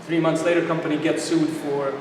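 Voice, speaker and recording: A man's voice: on the high side, level tone, brisk. A middle-aged man with a Bosnian accent, a native Bosnian speaker, telling his story conversationally as an interview guest. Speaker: interview guest, supplement company founder. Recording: echoey hall, distant mic, noisy ambience.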